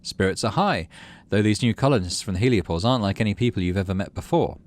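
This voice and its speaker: A man reading a game's story script aloud as expressive narration for a gaming channel. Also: fairly low pitch, quick pace.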